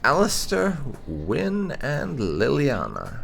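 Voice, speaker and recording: A man doing roleplay commentary on a game playthrough, musing and hesitant with hums and pauses. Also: mid-range voice, huge pitch swings, creaky, fairly bright tone. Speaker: gaming video host.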